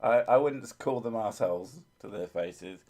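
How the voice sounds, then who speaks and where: Low-voiced man with a soothing tone. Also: measured pace, darkish tone. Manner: rambling, self-mocking, laughs often. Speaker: livestream host. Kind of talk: casual livestream chat.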